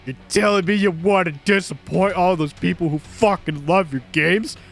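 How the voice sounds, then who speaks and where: A man's voice: fairly high pitch, big pitch swings, a little slow, vocal fry, a bit bright, faint music behind, voice pushed down, heavily acted. A man voicing a menacing, foul-mouthed male thug producer in a game dub, snarling threats. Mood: enraged.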